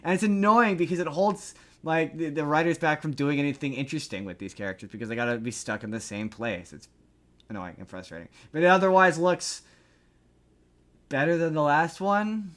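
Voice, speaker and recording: A man hosting a podcast, chatting casually through entertainment news in a rambling way with animated asides. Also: on the high side, a little fast. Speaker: podcast host.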